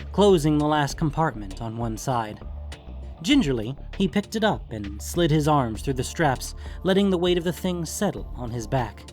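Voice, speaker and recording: A man narrating scripted fiction in a measured, deliberate storytelling manner. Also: a little high.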